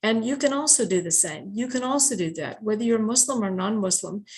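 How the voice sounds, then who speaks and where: A woman's voice: mid-range voice, brisk. A native English-speaking woman giving an informal talk on religious teachings, working through a numbered list in a conversational way with frequent fillers. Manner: conversational, many fillers, enumerating points. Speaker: speaker explaining Quran teachings.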